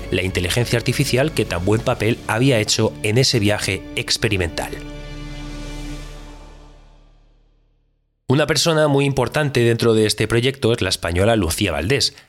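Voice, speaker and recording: A man's voice: medium pitch, close to an everyday voice. A man playing a direct, insistent radio interviewer in a scripted audio drama, speaking. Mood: grave, intriguing. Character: direct, probing male radio interviewer with no-nonsense questions. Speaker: podcast host interviewing an astronaut. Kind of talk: scripted fictional interview show.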